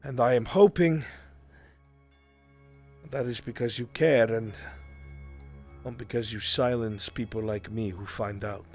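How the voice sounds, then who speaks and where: A man playing a grave, earnest character in a fantasy roleplay, speaking deliberately with dramatic pauses. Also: mid-range voice, a little mellow, soft background music, lightly acted. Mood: urgent pleading. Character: grave, earnest man pleading for a lost enslaved friend. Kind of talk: in-character improvised fantasy acting.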